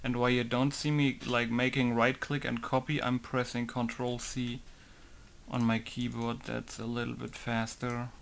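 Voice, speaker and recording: Slow, gravelly man. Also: medium pitch, level tone, fairly bright tone, a little hiss or hum. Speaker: game modder. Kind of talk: casual screen-recorded tutorial commentary.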